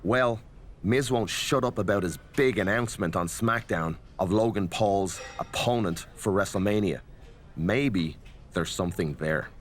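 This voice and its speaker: Actor as earnest low-voiced wrestler ally. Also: male voice, measured pace, a little hiss or hum, natural voice. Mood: concerned. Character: supportive, earnest male wrestler ally, eager for action. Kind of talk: video game cutscene acting.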